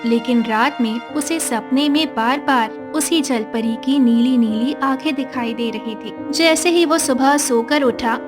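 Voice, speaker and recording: A woman with a high voice narrates a cartoon story as a scripted storyteller. Music and rain sound clearly behind her. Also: unhurried, hardly any pauses.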